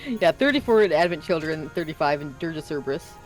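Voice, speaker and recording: A young woman chatting casually while playing a video game, relaxed and at times a little flat. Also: deep voice, quick pace, faint music behind, rough recording. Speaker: gamer. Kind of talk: casual let's-play chat.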